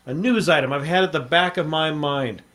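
A man's voice: a little high, normal speed, fairly bright tone, lightly acted. A man playing a hard-boiled American newspaperman. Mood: sardonic, assured. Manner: hard-boiled, clipped, wry. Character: tough, sardonic American newspaperman hero and narrator. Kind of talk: 1950s radio detective drama acting.

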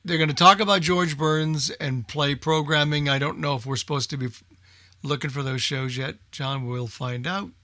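A man's voice: on the high side, steady tone, moderate pace, sharp tone. A man presenting a radio show in casual group chat, thinking aloud in a hesitant way.

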